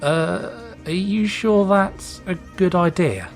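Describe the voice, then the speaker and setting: A man's voice: high-pitched, takes it slowly, faint music behind, pitched above the performer's own voice. A man voicing a young male sidekick creature in a storybook reading, sleepy, hesitant and unsure.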